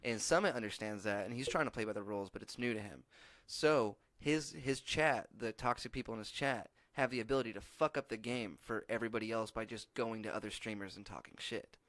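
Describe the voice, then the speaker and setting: A man's voice: on the low side, fairly quick, hardly any pauses, fairly bright tone, no room sound. A man chatting casually as a podcast co-host, rambling and restarting, with a lot of swearing. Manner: rambling storyteller, frequent restarts and profanity. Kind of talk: casual chat.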